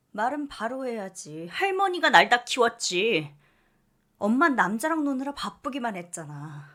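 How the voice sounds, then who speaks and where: A woman's voice: a little high, bright, forceful tone, voice pushed up. A woman voicing a bitter young adult daughter in a story, resolute, with cutting retorts.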